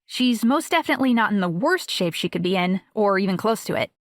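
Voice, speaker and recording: A woman's voice: medium pitch, lively intonation, a little fast, no room sound, clean studio sound, natural voice. A young woman playing a self-assured, talkative friend who reassures a worried parent in a fluent, matter-of-fact way in an audiobook. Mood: matter-of-fact, reassuring. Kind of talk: audiobook dialogue acting.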